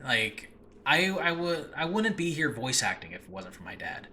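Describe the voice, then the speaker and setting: A man's voice: fairly high pitch, very animated, normal speed, bright, forceful tone. A man hosting a casual solo podcast, talking enthusiastically and off the cuff, often rambling and interrupting himself. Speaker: podcast host, writer and voice actor of audio dramas. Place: room in a new home, no regular recording space. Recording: faint background noise, makeshift home recording space.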